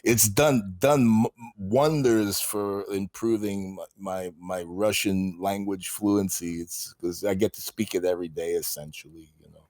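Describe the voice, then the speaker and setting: A man as the guest on a casual interview podcast, relaxed, laughing easily and trailing off at times. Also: low voice, unhurried, few pauses, no room sound. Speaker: guest knifemaker.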